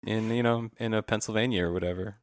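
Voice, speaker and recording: Guy podcasting, lowish voice, rambly casual recap, dry close sound. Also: steady tone, fast, dry recording. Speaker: podcast host.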